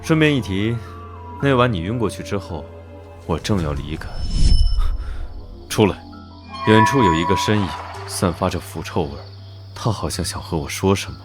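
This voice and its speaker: A man playing an aloof, commanding nobleman in an animated drama, measured and detached with a dry, teasing edge. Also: low voice, music in the background. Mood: cool, grave. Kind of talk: Chinese animated drama voice acting.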